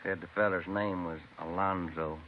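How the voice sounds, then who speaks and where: An American actor playing an easygoing police detective in an old radio drama, with a folksy, understated delivery. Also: male voice, deep voice, fairly even intonation, moderate pace, close to an everyday voice. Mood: calm. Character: easygoing male police detective, the sergeant's partner. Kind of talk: 1940s-50s radio drama acting. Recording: tinny noisy vintage radio recording.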